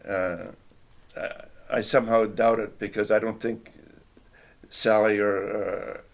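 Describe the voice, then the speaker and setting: Gravelly low-voiced older man, hesitant. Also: flat delivery, slow. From Canada. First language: Finnish. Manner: hesitant, reflective, frequent restarts and throat clearing. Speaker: interviewee. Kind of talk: oral history interview.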